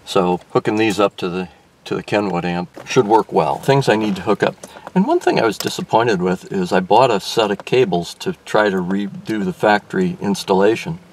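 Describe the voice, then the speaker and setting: An older man explaining a car radio install as he works, thinking aloud with frequent restarts and fillers, recorded inside a car in daylight. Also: deep voice. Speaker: car restoration vlogger. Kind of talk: casual hands-on explanation. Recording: distant mic with faint background noise.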